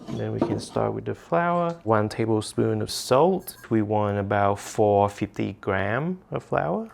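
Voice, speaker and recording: A man from Vietnam, calmly walking through a cooking demonstration in a home kitchen and sharing personal memories. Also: on the low side, vocal fry. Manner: calm, unhurried, gently reflective. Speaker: home cook.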